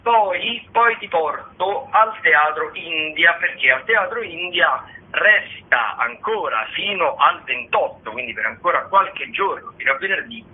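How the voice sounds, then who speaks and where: A man hosting a radio show, talking through arts listings in a conversational, halting, digressive way, with frequent hesitations. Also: a little high, animated, husky. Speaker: radio theatre show host. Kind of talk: conversational radio listings of theatre and dance shows. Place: radio broadcast. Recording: slightly band-limited, phone-like radio sound.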